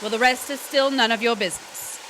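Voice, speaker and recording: An actress playing a proud, restless young wife in a stage drama, defensive and sharp-tongued. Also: female voice, on the high side, steady tone, brisk, slight background noise, rough recording. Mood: scornful. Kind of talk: stage drama performed online.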